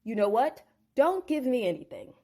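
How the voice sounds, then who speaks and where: A woman's voice: sits high, sing-song, moderate pace, dark tone. A woman voicing Jacob, a crafty young shepherd making a casual, persuasive bargain.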